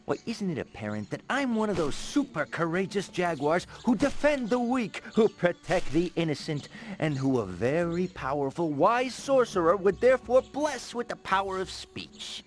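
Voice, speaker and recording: An actor playing a boastful talking jaguar in a video game, with a grandiose, storytelling manner. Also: male voice, high-pitched, very animated, normal speed. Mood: proud, boastful. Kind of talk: animated video game voice acting.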